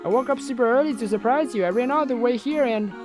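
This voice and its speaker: Playful, excitable visual-novel schoolgirl, put on by a narrator: high, whiny, dramatic, over clear background music. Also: quick pace, natural voice.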